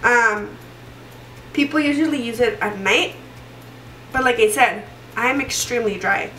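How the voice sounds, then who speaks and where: A young woman with a cold and a fairly high voice chats away while doing her makeup, rambling and circling back with lots of fillers. She sounds a little stuffy. The sound comes from a camera mic a short way off, in a roomy, echoey room. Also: measured pace, pushed and bright, distant mic, slight background noise. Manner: chatty and rambling, many fillers and restarts. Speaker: beauty vlogger. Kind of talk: casual makeup tutorial chat. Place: small room indoors. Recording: roomy echo, voice a bit off-mic.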